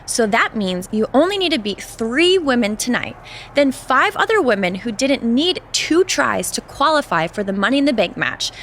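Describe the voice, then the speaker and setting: A woman's voice: fairly high pitch, big pitch swings, normal speed, fairly bright tone, a little hiss or hum. An actress playing a chatty woman wrestler in a video game cutscene.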